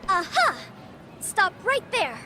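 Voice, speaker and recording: A woman's voice: very high voice, sing-song, slow pace, bright, forceful tone, a little hiss or hum, heavily acted. A woman voicing a haughty, dramatic teenage schoolgirl detective in a video game, accusatory and wordy. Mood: triumphant. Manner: theatrical, accusatory, formal wordy phrasing.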